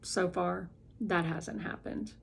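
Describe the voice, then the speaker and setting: Slow-paced woman reading a children's book aloud. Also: lowish voice, fairly even intonation, creaky, husky. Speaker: read-aloud narrator.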